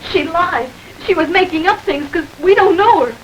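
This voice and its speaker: A woman's voice: very high-pitched, normal speed, poor audio. A woman playing a frightened young female suspect who pleads and defends herself, in a 1940s radio drama with old radio hiss. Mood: pleading. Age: young adult. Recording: hissy old radio recording.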